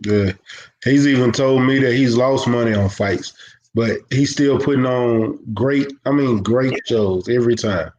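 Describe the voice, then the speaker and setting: A man hosting an interview show, asking questions in a relaxed, casual way with fillers. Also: medium pitch, measured pace, reverberant, lo-fi sound, slight background noise. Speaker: host.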